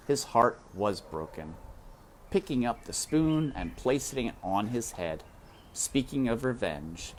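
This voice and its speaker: A man reading a children's novel aloud, expressive and addressing the listener directly. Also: deep voice, a little hiss or hum. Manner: expressive, speaks to the reader directly. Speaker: reader. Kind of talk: children's book read-aloud.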